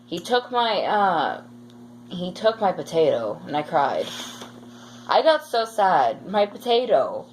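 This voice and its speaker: Low-pitched young woman, casual storyteller. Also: big pitch swings, slow pace, rough recording. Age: teen or young adult. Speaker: vlogger telling school stories. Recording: faint background noise.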